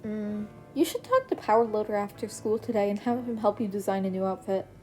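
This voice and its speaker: A woman's voice: medium pitch, expressive, brisk, faint music behind, lightly acted. A woman reading as a huffy, indignant teacher hero. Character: easily flustered teacher hero, chess loser.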